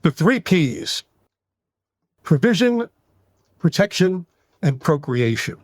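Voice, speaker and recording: A man in his sixties from the United States with a New York accent, answering podcast interview questions in long, animated run-on sentences. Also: a little high, slow, slightly rough, no echo, studio quality. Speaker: guest psychologist.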